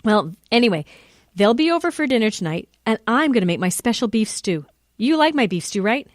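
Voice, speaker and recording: Kindly, chatty mom , played by a woman, higher-pitched. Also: big pitch swings, brisk, dry recording, studio quality. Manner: affectionate, chatty, homely. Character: kindly, chatty mother of a schoolgirl. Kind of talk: children's radio drama acting.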